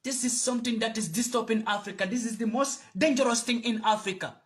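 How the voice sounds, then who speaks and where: A man's voice: very high voice, fast. A man from Gambia, giving a fiery, preachy address to camera and repeating phrases for emphasis. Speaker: video commentator.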